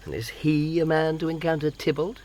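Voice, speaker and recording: A man acting a high-pitched, mocking young gentleman. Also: fairly quick, close to an everyday voice. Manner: theatrical, playful, flamboyant wordplay. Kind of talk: Shakespearean audio drama acting.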